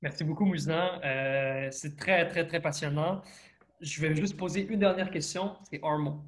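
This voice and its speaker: A man's voice: on the high side, fairly even intonation, normal speed. A man moderating an online panel, introducing a speaker and asking questions with some hesitations. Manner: friendly, fillers while framing questions. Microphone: video call.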